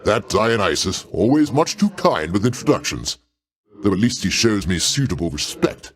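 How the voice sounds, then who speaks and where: An actor playing a proud, paternal older god in a video game, speaking in a pompous, avuncular way with formal, theatrical diction. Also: male voice, on the low side, big pitch swings, normal speed. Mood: condescending. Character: grandiose, paternal older male Greek god, proud, easily offended.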